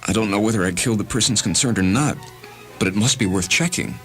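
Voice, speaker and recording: A man voicing an agitated, confused, halting man prone to outbursts in a dramatised story. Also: fairly low pitch, faint music behind, natural voice. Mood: uncertain, urgent. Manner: halting, excitable.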